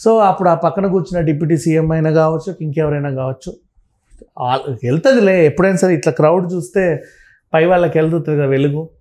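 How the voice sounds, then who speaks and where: Mellow, higher-pitched man commentating. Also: fast. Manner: emphatic, repeats phrases, mixes in English words. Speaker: film and political commentator, interview guest. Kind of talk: conversational opinionated commentary.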